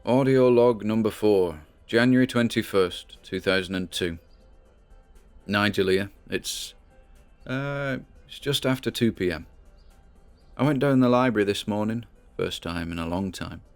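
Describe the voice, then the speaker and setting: A man's voice: lowish voice, measured pace, smooth, soft background music. A British man playing an obsessed amateur investigator, musing conversationally into an audio diary in a drama. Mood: puzzled, weary. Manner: conversational, musing, muttered asides.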